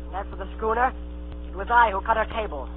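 A man's voice: high-pitched, fast talker, breathy edge. An actor playing a proud, plucky boy adventurer, telling his tale earnestly in old radio drama style. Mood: defiant. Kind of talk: 1930s radio drama acting. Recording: tinny old radio broadcast, music, hum.

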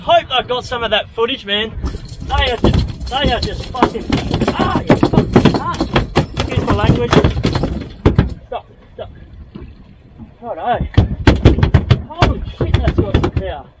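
An Australian man talking casually while he fishes from a small boat, excitable and swearing freely as he reacts. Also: extremely high pitch, unhurried, long pauses, very noisy. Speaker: fishing vlogger. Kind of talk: casual running commentary while fishing. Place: small boat out on open water.

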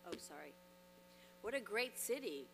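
A woman's voice: mid-range voice, very animated, normal speed, vocal fry. A woman in her thirties gives an earnest, grateful award acceptance speech at a podium microphone on stage, with frequent fillers and restarts. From Dominican Republic. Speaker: award honoree. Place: large hall, on stage at a podium. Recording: long reverb in a large hall, faint hum.

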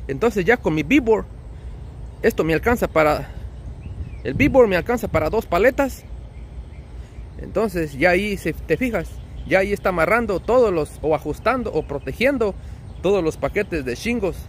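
Mexican male trucker vlogger: high register, very varied intonation, friendly colloquial explainer, light outdoor noise. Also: expressive, moderate pace. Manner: friendly, colloquial, with diminutives and slang. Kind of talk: casual explanatory vlog. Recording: outdoor ambient and vehicle noise.

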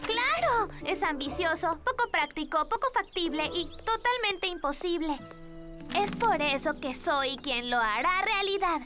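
A woman's voice: very high-pitched, lively intonation, measured pace. A woman playing an eager, enthusiastic young girl in a children's cartoon, with a Latin American Spanish accent. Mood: determined. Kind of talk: animated children's cartoon dubbing. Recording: music behind the voice.

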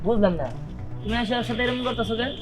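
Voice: medium pitch, steady tone, rapid-fire, loud music behind the voice, natural voice, low-quality recording. An actor playing a protective, defiant young man in love in a cartoon drama. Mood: annoyed.

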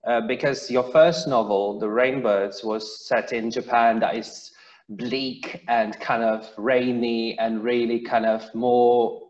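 Halting Polish-accented man interviewer. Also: mid-range voice, steady tone, a little mellow. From Poland. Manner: halting, many repeated words. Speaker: interviewer for a university press. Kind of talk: interview questions. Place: indoors room. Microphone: headphones on video call. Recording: dull echoey video call.

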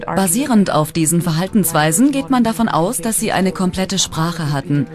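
A woman with a slightly low voice explains as an expert in a documentary. Music is clearly heard behind her. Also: animated, moderate pace. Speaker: voice-over of an expert interviewee.

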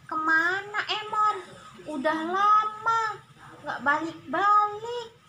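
A very high, sweet little duck girl, acted out by a woman for a children's puppet tale. She is caring and timid, stretching her words in childlike pleas. There is clear noise on the recording. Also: takes it slowly, sharp tone, heavily acted. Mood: worried.

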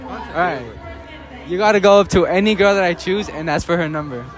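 A young man doing playful street interviews outdoors with a handheld mic, loud and teasing, laughing often. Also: high voice, brisk. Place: outdoor school courtyard with crowd. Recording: crowd noise and music behind.

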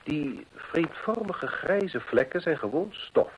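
An actor playing a keen, self-assured detective in a radio play, precise and emphatic, with a husky voice. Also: male voice, fairly high pitch, very animated, slow, lo-fi sound, slight background noise. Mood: keen, explaining. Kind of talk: Dutch radio play acting. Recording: old radio play recording.

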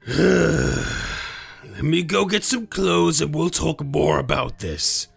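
A man voicing a vain, earnest, theatrical young man with a husky voice in an animated roleplay. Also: on the high side, extremely slow, heavily acted. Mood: stern, worried. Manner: melodramatic, self-admiring. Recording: background music under dialogue.

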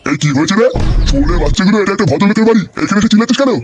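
A man's voice: sits high, expressive, racing, music in the background. A man voicing a cranky, scolding, protective old grandfather in a comedy cartoon. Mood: irritated, scolding. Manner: gruff, scolding, foul-mouthed. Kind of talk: Bengali comedy cartoon dubbing.

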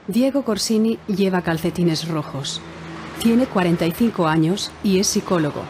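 A woman narrating a Spanish learners' audiobook in a clear, measured reading style. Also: mid-range voice, darkish tone, slight background noise.

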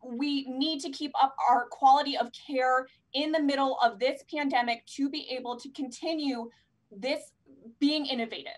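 A woman's voice: high voice, moderate pace, low-quality recording. A young woman hosting a live fundraiser over video call, effusively thanking donors by turn. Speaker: fundraising host. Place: indoors, plain room at home. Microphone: handheld mic on video call.

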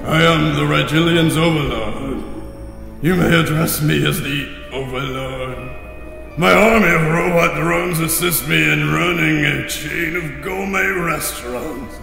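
An actor playing a huge, corpulent alien overlord, a pompous, gloating gourmet villain in a retro sci-fi audio drama. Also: male voice, fairly high pitch, measured pace, gravelly, bright, forceful tone, soft background music, full character voice. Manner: pompous, taunting. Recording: very echoey, long reverb.

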